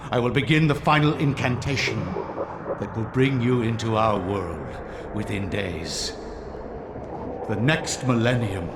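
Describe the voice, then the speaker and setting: An actor playing a zealous, sinister servant of dark gods in a video game, solemn and grandiose. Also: male voice, on the low side. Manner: solemn, grandiose, incantatory delivery. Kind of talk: theatrical video game villain acting. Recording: reverberant, noisy game cutscene audio.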